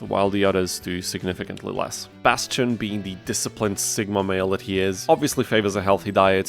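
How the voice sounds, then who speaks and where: A man's voice: on the low side, vocal fry. A man hosting a gaming video, reading scripted challenge-run narration with dry, tongue-in-cheek asides. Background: background music.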